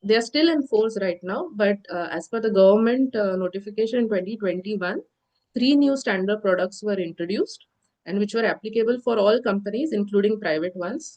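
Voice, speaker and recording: A woman delivers an online lecture over a call, her voice nasal and stuffy from a cold. She explains step by step at a steady pace, pausing often on little fillers. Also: mid-range voice, low-quality recording. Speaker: insurance lecturer. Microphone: video call.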